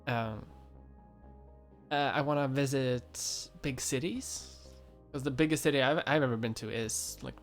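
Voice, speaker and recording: A man's voice: mid-range voice, measured pace, faint music behind. A man in his twenties from Europe, chatting casually on a livestream, rambling with many fillers and restarts and laughing often. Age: 20s. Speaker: YouTuber.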